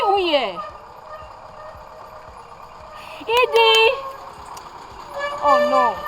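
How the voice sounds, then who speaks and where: High-voiced mother, played by an actress. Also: close to an everyday voice, low-quality recording. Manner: lecturing at length, with emphatic repetitions. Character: stern older mother lecturing, then pleading with her child. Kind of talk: Twi-language family drama acting.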